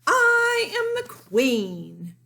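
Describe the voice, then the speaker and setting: A woman's voice: very high voice, wildly swooping pitch, extremely slow, creaky, bright, forceful tone, voice pushed up, full character voice. A woman voicing a bossy, jealous little girl mouse in a children's storybook, with an emphatic, theatrical delivery. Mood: defiant, dramatic. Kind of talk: read-aloud children's storybook.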